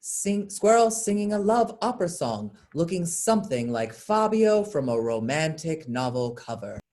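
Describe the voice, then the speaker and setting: A woman's voice: low voice, sing-song, moderate pace, dark tone. A woman reading scripted stage directions as narration for a play.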